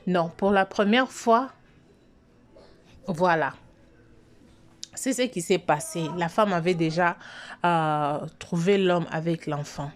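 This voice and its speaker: A woman, telling a story to her subscribers at an unhurried, drawn-out pace. Her voice sits fairly low. She hesitates often, stretching her words and restarting her sentences as she goes. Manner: slow, hesitant, many fillers and restarts. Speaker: online storyteller host. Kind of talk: casual spoken storytelling to subscribers.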